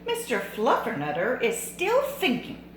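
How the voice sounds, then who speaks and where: A woman voicing a stern mother cat who lectures her kitten in a shrill, bossy way. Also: wildly swooping pitch, unhurried, a little hiss or hum. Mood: curt. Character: stern scolding mother cat, middle-aged. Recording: echoey room.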